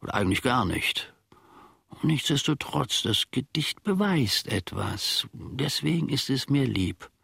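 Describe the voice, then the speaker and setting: An actor playing a pedantic, simple-minded manservant in a radio play, speaking in a ponderous, mock-learned, rambling way. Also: male voice, mid-range voice, lively intonation, unhurried, gravelly, fairly bright tone, slightly rough, no echo, clean studio sound, heavily acted. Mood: pompous, critical. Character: pedantic, simple-minded male servant of a philosopher. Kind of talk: German radio play acting.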